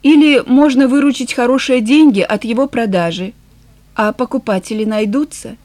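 A woman's voice: a little high, normal speed, darkish tone, no echo, close to an everyday voice. A woman voicing a downcast, hesitant middle-aged shop woman in an audiobook, subdued in manner. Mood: glum, reserved. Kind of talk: audiobook character dialogue.

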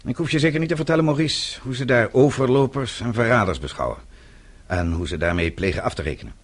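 An actor playing a vengeful middle-aged father in a radio play, speaking in a measured, deliberate and formal way. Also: medium pitch, lightly acted. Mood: cold menace. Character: vengeful father, composed, coldly methodical older man.

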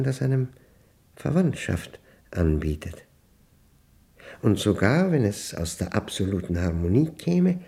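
A gravelly, brooding older man. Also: medium pitch, dark tone, exaggerated voice. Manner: halting, deliberate, introspective phrasing. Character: aging, solitary man brooding over a humming machine. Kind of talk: radio play monologue.

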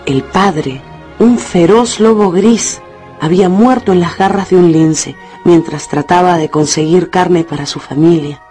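A woman narrating a radio novel as an expressive, dramatic storyteller. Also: on the low side, measured pace, faint music behind.

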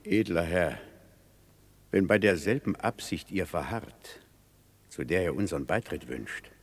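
A conspiring lord in a classical radio play. His voice is very low and gravelly, slightly husky, and he delivers his lines slowly and deliberately. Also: lively intonation, exaggerated voice. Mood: conspiratorial.

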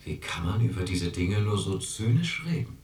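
A man's voice: fairly low pitch, very animated, normal speed, darkish tone. An actor playing a domineering group therapist in a radio drama, pressing and condescending as he questions. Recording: roomy, distant mic.

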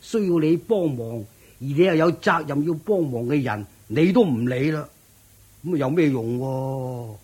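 An actor playing a nagging yet timid older village uncle in a radio drama, with a Hong Kong Cantonese accent and a sighing, chiding manner. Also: male voice, a little high, lively intonation, moderate pace, a little mellow, husky. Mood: nagging concern. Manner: sighing, chiding, stammering exclamations.

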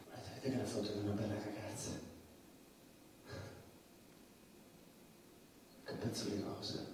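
A man's voice: fairly low pitch, lightly acted. A male stage actor playing a troubled, rambling, defiant stand-up comedian who stammers and repeats his phrases in a theatrical monologue. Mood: bitter, wry. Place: theatre stage, indoors, recorded from afar. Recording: distant, echoey stage recording with faint noise.

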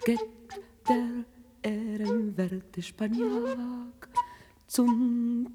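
A woman narrates a literary radio play at an extremely slow, deliberate pace. Her mid-pitched voice sits in a long reverb, with music playing clearly behind her. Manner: measured, deliberate phrasing with pauses.

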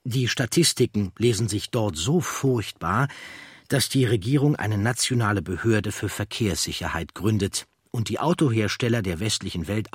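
A man reading scripted documentary narration in a measured, factual way. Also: on the low side, a bit bright, breathy edge, no echo.

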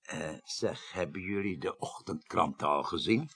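An actor playing a self-important, inquisitive senior official, articulate and formal, in a Dutch radio drama. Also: male voice, low-pitched, lively intonation, moderate pace, fairly bright tone, breathy edge, full character voice. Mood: curious, affable.

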